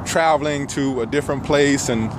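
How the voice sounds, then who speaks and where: A middle-aged man giving an earnest motivational talk in measured phrases with frequent pauses, walking outdoors with a phone at arm's length. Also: mid-range voice. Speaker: self-help vlogger. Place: outdoors on a path among trees. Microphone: phone held at arm's length. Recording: noisy outdoor phone recording, traffic ambience.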